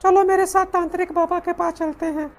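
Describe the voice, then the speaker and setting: A woman voicing a protective, chiding village mother in an animated story. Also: very high voice, a little fast. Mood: concerned. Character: anxious, scolding village mother of a young girl. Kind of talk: Hindi animated horror story dialogue. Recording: music behind the voice.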